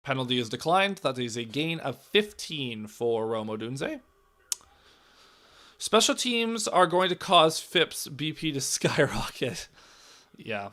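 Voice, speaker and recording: A hoarse young man with a raspy, cracking voice, giving casual live play-by-play commentary and reacting to the game, swinging from loud calls to low muttering. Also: big pitch swings, slow pace.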